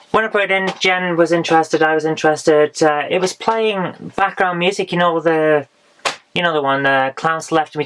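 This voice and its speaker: Hoarse woman reviewing retro games. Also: low-pitched, fairly bright tone, a little hiss or hum, low-quality recording. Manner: chatty and frank, with dry asides. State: hoarse, losing her voice. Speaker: retro game vlogger. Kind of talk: casual game haul review.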